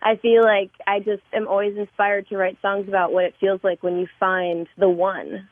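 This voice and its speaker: A woman guest on a radio show talks openly about her music in a warm, heart-on-her-sleeve way. Her voice comes through a thin, phone-like remote line. It sounds dry and close, with no room echo. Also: medium pitch, flat delivery, moderate pace. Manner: warm, effusive, emotional, laughs often. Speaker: singer-songwriter guest. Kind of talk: casual interview chat.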